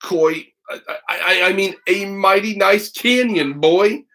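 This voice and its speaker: Male reader as folk-tale giant lumberjack dad, halting, stammering, amazed, pitch climbing as he fumbles words. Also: bright, forceful tone, full character voice. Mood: flustered amazement. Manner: stammering, halting, tongue-tied. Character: giant lumberjack father, amazed, tongue-tied, good-natured.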